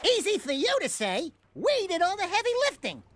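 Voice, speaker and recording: An actor voicing a small, jumpy, wisecracking animal sidekick in a video game cutscene, quick and whiny. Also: male voice, extremely high pitch, wildly swooping pitch, fairly bright tone, full character voice. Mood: teasing, excited. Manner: fast quippy and whiny.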